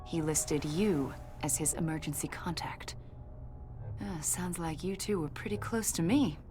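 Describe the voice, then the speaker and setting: An actress playing a confident government agent in a video game, questioning in a pointed, insinuating and accusatory way. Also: female voice, sits low, unhurried, music in the background. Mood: pressing. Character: probing female government agent, confident, accusatory, smoothly needling. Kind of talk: video game dialogue acting.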